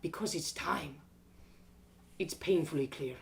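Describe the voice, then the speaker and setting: A wise old village man from a storybook, acted out by a woman, pitched low and measured. He is gentle and fatherly, and he takes his time with each philosophical thought. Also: creaky. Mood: calm, knowing.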